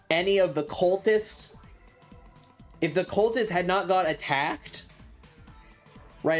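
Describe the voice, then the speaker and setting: Mid-pitched voice, casual streamer storytelling, emphatic, frequent swearing, background music.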